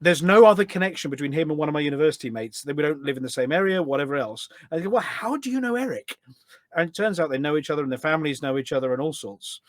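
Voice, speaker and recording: A middle-aged man chatting casually as a podcast guest, telling stories in an animated way. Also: fairly high pitch, racing, no echo, clean studio sound. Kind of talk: casual anecdotal chat.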